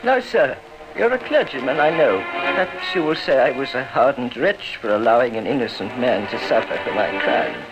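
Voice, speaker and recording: A man's voice: mid-range voice, expressive, normal speed, close to an everyday voice, low-quality recording. Condemned murderer, played by a male actor: hardened, formal, declamatory, vintage radio crime drama over music. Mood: frank, defiant. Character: hardened condemned murderer confessing in his cell. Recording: old radio broadcast, music behind.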